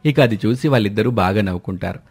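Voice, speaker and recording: A man with a fairly low voice narrates a scripted tale. He works as the storyteller, linking the scenes of a moral story. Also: quick pace, darkish tone, husky, faint music behind.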